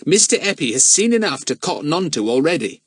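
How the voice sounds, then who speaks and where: A man's voice: a little high, very animated, fast, breathy edge, no room sound, studio quality, voice pushed up. A man doing a gloomy, earnest boy. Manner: earnest, a bit exasperated.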